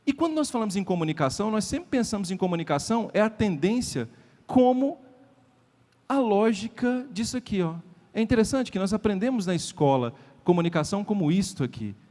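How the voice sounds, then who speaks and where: A man's voice: sits high, lively intonation, fairly quick, warm tone. A man with a Brazilian accent giving a motivational lecture at a sales event, emphatic, repeating key lines and putting rhetorical questions to the audience. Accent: Brazilian Portuguese. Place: event hall before a live audience. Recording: reverberant hall sound.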